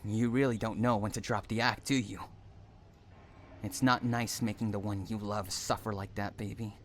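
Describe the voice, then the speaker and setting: A man's voice: fairly low pitch, steady tone, normal speed, fairly bright tone, slight background noise, close to an everyday voice. A man playing an obsessive, unhinged kidnapper in a dramatic roleplay, cooing endearments mixed with threats straight at the listener. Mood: angry, menacing. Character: obsessive, unhinged male stalker kidnapper, possessive and menacing lover.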